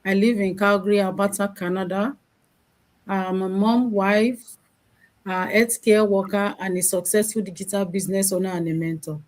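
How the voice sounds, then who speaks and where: A woman from Nigeria introducing herself on a live stream, slow and formal. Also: medium pitch, fairly even intonation.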